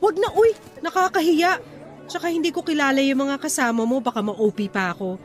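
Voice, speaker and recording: Radio drama sweetheart, played by a woman: young, Filipino accent, high voice, proper yet playful, chatty delivery. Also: brisk, soft background music, some chatter behind, close to an everyday voice. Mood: casual, wistful.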